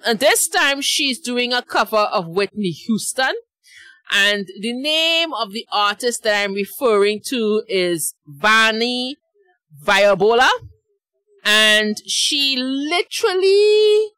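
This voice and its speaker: A woman's voice: on the high side, pushed and bright, no room sound. A middle-aged woman with a Trinidadian accent hosting a reaction channel, chatting casually to viewers and laughing at her own slips. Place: small dark home studio. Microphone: large studio mic with headphones.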